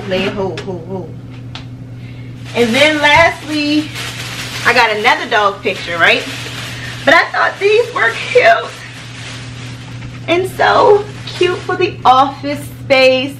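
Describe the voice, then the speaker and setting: A woman's voice: high-pitched, very animated, slow, long pauses. An American woman in her thirties talking informally and chattily to the camera as a home vlogger. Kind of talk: casual chat to camera. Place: small home office room, indoors. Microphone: camera a few feet away. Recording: distant camera mic with background noise.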